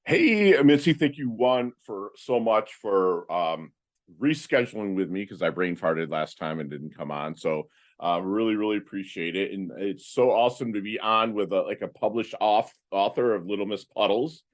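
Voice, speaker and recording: A man of fifty speaks as a guest on a podcast, his voice sitting a little low. He tells his story in a loose, animated way, full of fillers and restarted phrases. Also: normal speed. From Philippines. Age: 50s. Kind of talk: casual interview talk.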